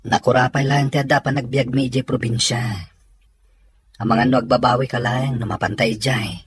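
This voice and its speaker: A man playing a reluctant, stingy boyfriend in an Ilocano comedy drama, husky. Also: medium pitch, little pitch movement, normal speed. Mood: grudging.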